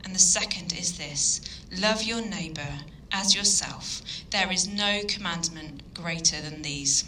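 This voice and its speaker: A woman from Yorkshire with a Yorkshire accent giving an earnest evening sermon, full of ums and pauses. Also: fairly low pitch, pushed and bright, slight background noise, low-quality recording. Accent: Yorkshire English. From Yorkshire, England. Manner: earnest, hesitant with frequent ums. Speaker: guest speaker.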